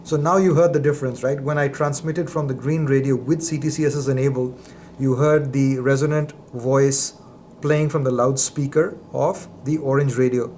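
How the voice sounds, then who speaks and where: A man's voice: mid-range voice, fairly even intonation, normal speed, a little mellow. A man giving a methodical, explanatory product demonstration, as a presenter. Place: indoor lab. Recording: roomy echo, faint background noise.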